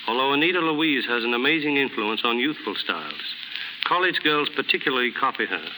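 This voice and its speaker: A man giving a formal, scripted guest talk on 1930s radio. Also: mid-range voice. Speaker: guest stylist. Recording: noisy 1930s radio recording.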